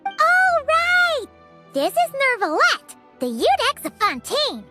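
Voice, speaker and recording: A woman voicing a tiny, cheerful, childlike game companion who is excitable and easily exasperated, with a chirpy delivery. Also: very high-pitched, slow pace, loud music behind the voice, heavily acted. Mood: cheerful, excited. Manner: chirpy, expressive. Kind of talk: video game voice acting.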